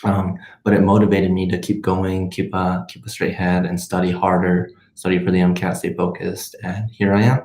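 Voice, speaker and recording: A young man in his twenties, a native Spanish speaker, talks casually to a livestream in a roomy, echoing space. His low voice stays fairly flat as he thinks aloud and drifts between half-finished sentences. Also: normal speed. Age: 20s. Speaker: medical student and vlogger hosting a live question session. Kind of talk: casual livestream question-and-answer chat.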